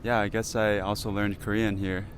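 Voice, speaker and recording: A young American man with a fairly low voice talks casually as a student being interviewed. He is outdoors, with clear traffic noise around him. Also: level tone, moderate pace. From United States. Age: 20s. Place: outdoors on a university campus.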